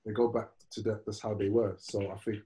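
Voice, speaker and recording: A man's voice: lowish voice, little pitch movement, a little fast, dark tone. A man in casual podcast chat, talking in a rambling way with many restarts and repeated words.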